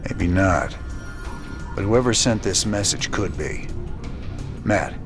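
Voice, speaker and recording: An actor playing a wary, gruff rebel space commander in a video game, speaking in a laconic, folksy drawl. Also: male voice, sits low, expressive, takes it slowly, over music. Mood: suspicious. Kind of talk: video game cutscene acting.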